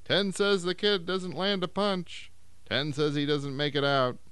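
A rowdy heckler shouts bets from the crowd in a high, thin voice, as a man puts him on. The tone stays smooth and dry. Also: steady tone, a little slow, no echo.

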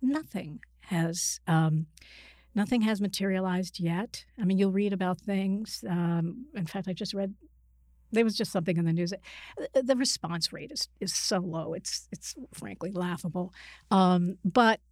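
A woman's voice: lowish voice, a little slow, a little mellow, no room sound, clean studio sound. A middle-aged or older woman speaking as an expert interview guest, reflective and hesitant, often correcting herself mid-sentence. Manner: hesitant, hedging, self-correcting. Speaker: psychiatrist and author, interview guest.

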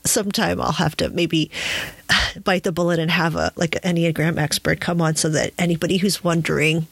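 A woman's voice: sits low, fairly even intonation, a little fast, gravelly, fairly bright tone, slightly rough, no echo. A woman hosting a podcast in a casual, conversational interview style, chuckling often as she talks. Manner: chuckles often while talking. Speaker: podcast host.

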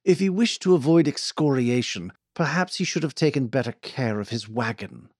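Western audiobook employer, male reader: pompous, fussy gentleman, wordy and formal, expressive pitch, close dry sound. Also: moderate pace, no echo. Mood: indignant.

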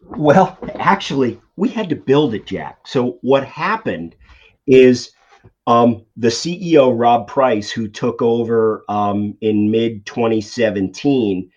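A man's voice: medium pitch, expressive, takes it slowly, a little mellow. A middle-aged man with a Boston accent, a finance executive answering interview questions in a conversational way with many ums and restarts. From Boston area. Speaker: CFO guest. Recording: dull lo-fi audio.

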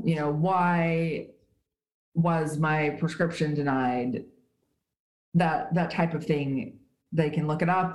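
A woman with a low voice speaks as an interview guest, explaining things emphatically and leaning on everyday examples. Her voice sounds roomy, as if recorded remotely in a live space. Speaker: guest, HR writer. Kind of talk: conversational interview. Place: at home in Switzerland.

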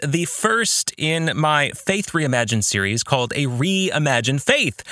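A man with a bright, fairly high voice delivers an earnest podcast monologue. His pitch moves in a lively way as he stresses key words for his listeners. The sound is dry and close, with faint music. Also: no echo. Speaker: podcast host.

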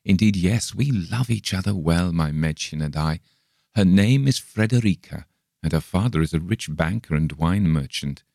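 A man's voice: deep voice, animated, moderate pace, gravelly, a little mellow, no room sound, studio quality, heavily acted. A man voicing a friendly, boyish young German brewer who talks in an earnest, chatty way, lifting his voice above his own. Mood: fond.

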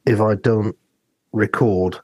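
A man co-hosting a podcast in casual, discursive conversation, with a gravelly, husky voice. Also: lowish voice, fairly even intonation, slow pace, dark tone, dry recording. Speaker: podcast co-host.

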